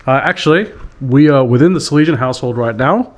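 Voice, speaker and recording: A man hosting a casual podcast. He banters with slang and jokes with his guest. His pitch sits in the ordinary middle range. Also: fast talker. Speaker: podcast host.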